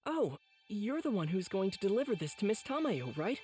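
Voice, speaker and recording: Earnest teen boy hero, lively intonation. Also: sits high, normal speed, music in the background. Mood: curious, gentle. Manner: earnest, sincere, polite. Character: earnest, kind-hearted teenage boy demon slayer. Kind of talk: dramatic anime game voice acting.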